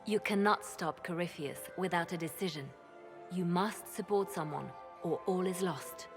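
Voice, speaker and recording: Woman acting calculating spymaster, low voice, grave, composed, measured, reverberant hall, game cutscene. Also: over music, lightly acted.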